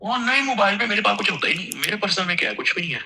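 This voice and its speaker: A man speaks very quickly in a casual phone chat, with a forceful, piercing edge to his voice. He is nonchalant and repeats his points, over a somewhat noisy call. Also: high voice, lively intonation. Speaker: prank call recipient.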